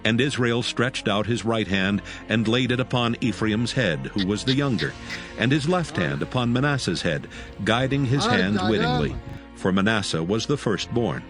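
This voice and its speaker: Animated low-voiced male scripture narrator. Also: moderate pace, music in the background.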